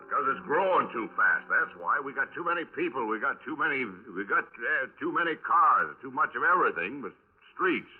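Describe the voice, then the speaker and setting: A man acting a folksy, unhurried police detective in a 1950s radio drama, speaking in a hesitant, rambling, casual way. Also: mid-range voice, very animated, faint music behind. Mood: grumbling. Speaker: actor in a radio crime drama. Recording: narrow-band vintage 1950s radio recording.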